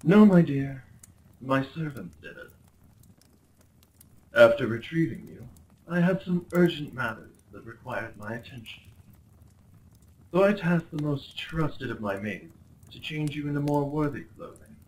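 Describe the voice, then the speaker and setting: A man's voice: a little high, expressive, unhurried, long pauses, a little mellow, slight background noise, low-quality recording. Man acting as possessive, theatrical prince, swooping delivery. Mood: amused, smooth. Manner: theatrical, formal, condescendingly polite. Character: possessive, smug yandere crown prince, courtly and obsessive. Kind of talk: roleplay ASMR acting.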